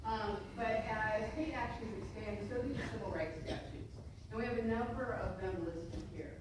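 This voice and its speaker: A woman speaking as a federal prosecutor at a public presentation, explaining emphatically with rhetorical questions and deliberate phrasing. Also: on the high side. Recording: distant, very echoey room, noisy live stream.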